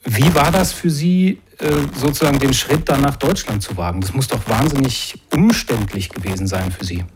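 A man speaks at a middle pitch from some distance off the microphone, asking his questions politely and formally. Hiss runs under his voice throughout. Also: normal speed. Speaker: interviewer.

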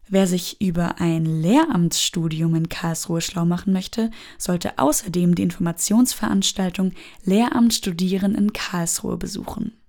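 Woman podcast host reading event tips, upbeat, mellow voice w some fry. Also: medium pitch. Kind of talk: scripted event announcements.

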